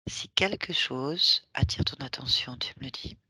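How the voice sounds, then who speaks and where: A woman hypnotherapist guiding a session, asking short patient questions softly, often in a whisper. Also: extremely low pitch, measured pace, husky, no echo.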